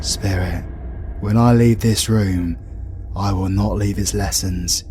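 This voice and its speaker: An actor with a British accent playing a sarcastic, foul-mouthed villain as a reluctant miser, in a colloquial, comic audio drama style. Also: male voice, low-pitched, steady tone, slow, a little mellow, faint music behind. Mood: uneasy pleading. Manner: colloquial, wry.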